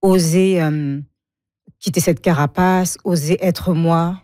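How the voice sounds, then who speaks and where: A woman's voice: lowish voice, fairly even intonation, takes it slowly, mellow, dry recording, clean studio sound. A woman in her forties from Congo, a guest speaking frankly about her life and often laughing. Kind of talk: emotional personal interview.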